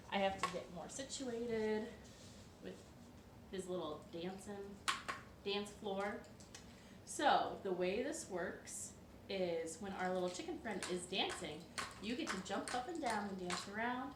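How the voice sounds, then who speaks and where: A woman hosting a storytime for young children, reading aloud in an expressive, playful way with drawn-out words and questions to her listeners. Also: medium pitch. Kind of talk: animated picture-book read-aloud for children. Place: living room at home. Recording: roomy, distant mic with faint noise.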